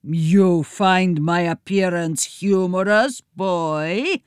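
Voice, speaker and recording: Woman playing a booming, pompous Eastern European villain. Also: sing-song, drawn-out words, clear, resonant tone, no room sound, clean studio sound, exaggerated voice. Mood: menacing. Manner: pompous, theatrical. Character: menacing male monstrous thief lord, pompous villain.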